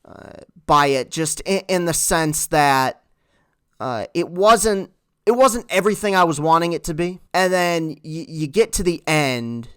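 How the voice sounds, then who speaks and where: Earnest man with clear voice. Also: a little high, animated, a bit bright, no echo, studio quality. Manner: earnest, halting, with repeated words. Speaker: film reviewer. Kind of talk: casual talk-to-camera movie review.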